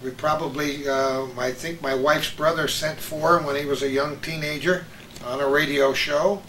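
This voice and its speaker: Older male host, higher-pitched voice, unhurried reminiscing, casual chat, echoey room, faint noise. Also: fairly even intonation, a bit bright. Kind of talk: casual reminiscing chat about old radio.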